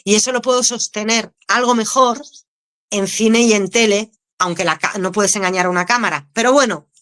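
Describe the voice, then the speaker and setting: Actress w/ canarian accent, candid and chatty, laughs a lot, mid pitch. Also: female voice, moderate pace. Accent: Canarian Spanish. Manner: candid, expressive, laughs easily. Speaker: actress, guest. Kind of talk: casual interview answers.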